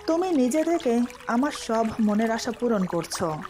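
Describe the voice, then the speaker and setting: Devoted young husband read by a female narrator, romantic audio story, affectionate, coaxing, high register, light water noise behind. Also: sing-song, a little slow, a little mellow. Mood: tender. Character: devoted, teasing young husband in love with his wife.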